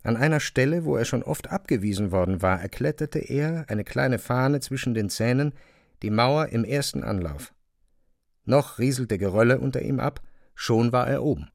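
A man narrating a literary radio play from a script in a measured storytelling manner. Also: medium pitch, animated, vocal fry, dry recording, clean studio sound. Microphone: close studio microphone.

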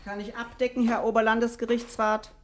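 An actress playing a prim, possessive housekeeper in a 1960 German radio play, stiffly formal and curt. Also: female voice, fairly high pitch, level tone, fairly quick, close to an everyday voice. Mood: stiff, sour.

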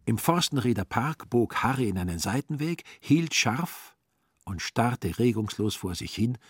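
A man narrating a literary audio drama in a measured, dryly ironic way. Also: medium pitch, animated, slightly rough, no echo.

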